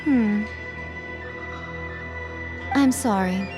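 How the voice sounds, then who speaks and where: A woman's voice: mid-range voice, drawn-out words, over music. An actress playing a sly, knowing woman who lectures with teasing wit and amused laughter in a video game. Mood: wry.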